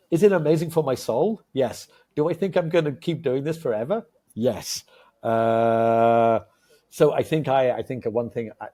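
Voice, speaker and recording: Fifties guy cohosting a podcast, husky voice, rambly and excited, lots of uhs. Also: mid-range voice. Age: 50s. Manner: enthusiastic, animated storyteller with many fillers. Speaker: podcast co-host. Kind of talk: casual podcast chat.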